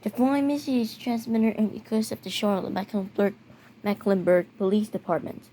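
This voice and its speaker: A speaker narrating a homemade scenario video in a halting way, often repeating words. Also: mid-range voice, warm tone. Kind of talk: amateur narration of a mock emergency alert scenario video. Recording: noticeable background noise.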